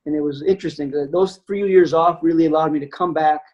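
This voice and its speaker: A man's voice: on the high side, steady tone, fast talker, darkish tone, highs cut off. Reflective man on a video call. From United States. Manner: reflective, many fillers. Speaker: anesthesiologist guest. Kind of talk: webinar talk.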